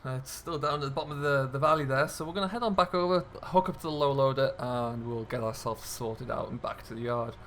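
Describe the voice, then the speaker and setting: A man's voice: mid-range voice, fast talker, hardly any pauses, a bit bright, slight background noise. A man giving casual, chatty commentary as host of a role-play gaming video, thinking aloud in an easygoing way.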